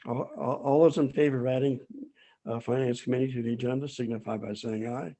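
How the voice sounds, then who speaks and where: A hoarse man chairing a town board meeting, speaking haltingly with many pauses and restarts. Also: medium pitch, fairly even intonation, dark tone.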